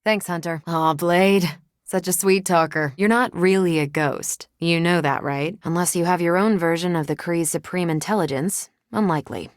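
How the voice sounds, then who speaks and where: Low, dry-toned American superhero woman, played by an actress. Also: moderate pace, no room sound, lightly acted. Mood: wry, casual. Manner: casual, dry, teasing confidence. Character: confident, wry female superhero and former military pilot. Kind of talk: video game character dialogue.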